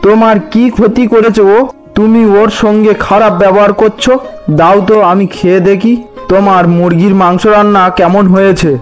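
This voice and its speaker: A man voicing a kindly older father-in-law in a fairy-tale cartoon, affectionate and coaxing, gently reproving. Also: high-pitched, lightly acted.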